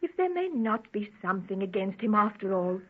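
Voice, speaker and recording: An actress playing a worried, devoted young daughter with an emotional, pleading manner in an old-time radio drama. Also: female voice, medium pitch, big pitch swings, a little fast, breathy edge. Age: young adult. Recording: muffled, tinny old radio recording.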